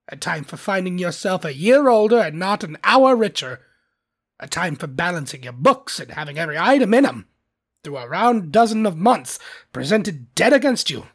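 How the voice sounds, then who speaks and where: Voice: mid-range voice, vocal fry, slightly rough, heavily acted. A narrator voicing a cold, bitter old miser uncle who is scornful and indignant, with a stern growl, in a storybook reading.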